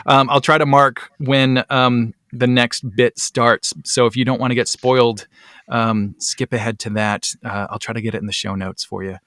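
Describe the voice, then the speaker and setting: Chatty podcast host, dry close sound. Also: male voice, medium pitch, fairly even intonation, moderate pace, a bit bright, clear, resonant tone, no echo. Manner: chatty, many ums, rising asides. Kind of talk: casual chat.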